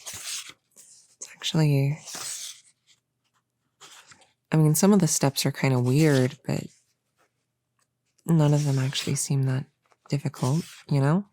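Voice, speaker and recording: Soft, slow, halting young novice witch played by a woman. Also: deep voice, steady tone. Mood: pleading. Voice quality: soft, often dropping into whisper. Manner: halting, soft-spoken, hesitant and reassuring. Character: nervous, eager young novice witch, a friend. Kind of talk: ASMR audio roleplay. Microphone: close-up mic in a dry, quiet space.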